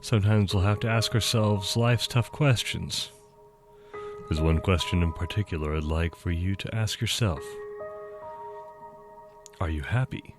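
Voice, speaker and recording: A man with a stuffy nose voicing a polite, earnest male examiner in an office, over loud game music. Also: low-pitched, a little slow, long pauses. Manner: courteous, earnest, insistent.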